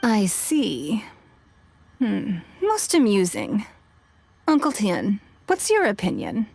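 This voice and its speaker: A creaky, drawling judge. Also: female voice, medium pitch, huge pitch swings, slow pace, darkish tone, a little hiss or hum, heavily acted. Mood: amused.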